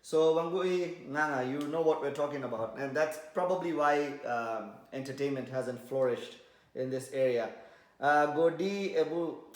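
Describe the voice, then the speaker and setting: A man's voice: a little high, normal speed. A man with a Kenyan accent co-hosting a podcast, bantering casually and switching between languages. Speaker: podcast co-host and DJ. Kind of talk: casual bilingual chat, reading viewer comments. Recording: echoey room.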